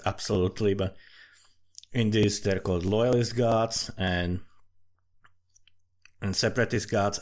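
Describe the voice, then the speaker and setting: A man giving a rambling, informal commentary on a fantasy world he is writing, swearing freely and often restarting his phrases. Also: on the low side. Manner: profane, informal, thinking aloud. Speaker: amateur fantasy writer.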